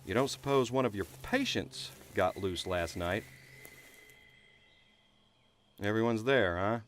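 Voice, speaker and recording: A man playing a gruff, hot-tempered small-town sheriff in an audio drama, commanding, curt and coarse-mouthed. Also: on the low side. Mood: urgent.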